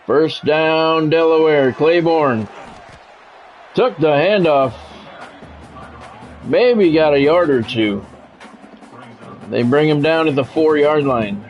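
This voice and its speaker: Higher-pitched man calling play-by-play, slightly dull sound. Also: slow pace, long pauses, soft background music. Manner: announcer-like, clipped play calls. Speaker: game streamer calling play-by-play. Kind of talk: sports commentary mixed with casual livestream chat.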